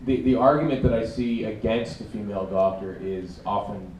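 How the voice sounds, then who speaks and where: A man speaking on a convention panel as a podcaster, halting and wry, repeating words as he thinks. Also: lowish voice, a little mellow, a little hiss or hum. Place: large convention hall. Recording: echoey hall, far from mic.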